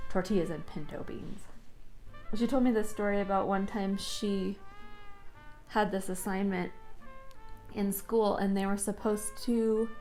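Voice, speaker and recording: A young woman chatting casually while she does her makeup, telling stories in an easygoing, friendly way. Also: medium pitch. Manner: chatty, warm storytelling with light laughs. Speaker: vintage lifestyle vlogger. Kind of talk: casual vlog chat while doing makeup.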